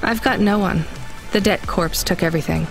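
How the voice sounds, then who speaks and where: An actress playing a young, plain-spoken and guarded delivery driver in a video game. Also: female voice, lowish voice, normal speed, gravelly. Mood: guarded, sympathetic. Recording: music behind the voice.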